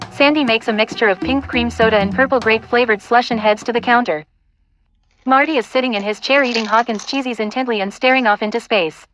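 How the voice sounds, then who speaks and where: A woman's voice: a little high, fairly quick, a bit bright, soft background music, low-quality recording. A woman reading scripted narration and stage directions for an audio play.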